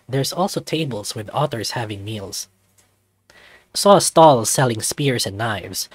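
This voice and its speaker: Male narrator for a visual novel read-through, mid-pitched, animated delivery, dry close recording. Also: dry recording. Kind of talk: expressive scripted narration, reading aloud.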